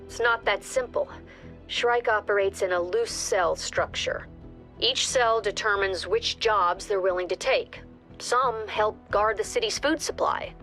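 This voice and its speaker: A woman plays a stern female commander in a tactical video game. Her delivery is clipped and businesslike, at a middle pitch, like a formal mission briefing. Faint music runs underneath. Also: unhurried, a bit bright, lightly acted. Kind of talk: scripted game briefing narration.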